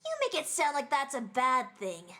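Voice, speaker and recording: A woman's voice: sits high, big pitch swings, moderate pace, bright, forceful tone, faint music behind, heavily acted. A woman voicing a small, brash, boastful monster with big ambitions of magehood in an anime fandub. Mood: indignant. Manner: brash, cocky. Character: small boastful monster who dreams of becoming a great mage.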